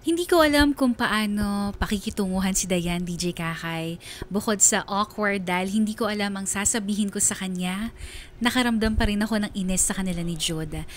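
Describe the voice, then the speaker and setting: A woman's voice: mid-range voice, moderate pace, natural voice. A woman playing an uneasy, guarded young student who narrates her own story in a confessional radio drama. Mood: uneasy, reflective.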